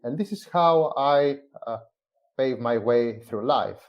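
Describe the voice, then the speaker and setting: A man from Greece, a company's chief growth officer, presenting a business book in a measured way with frequent "uh" fillers. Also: medium pitch, lo-fi sound. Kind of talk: semi-scripted presentation on a live stream.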